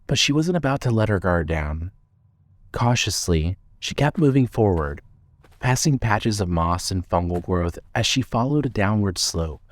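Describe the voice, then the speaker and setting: A man reads scripted fiction as narrator. His voice sits on the low side, with a measured, suspenseful delivery. The recording is dry and close. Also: lively intonation, dry recording.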